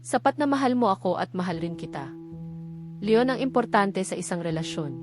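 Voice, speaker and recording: A woman's voice: medium pitch, animated, moderate pace, lightly acted. A woman reading the part of a flirtatious, persistent man in love in a storybook, with a Filipino accent. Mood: coaxing, earnest. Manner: teasing, coaxing. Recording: music behind the voice.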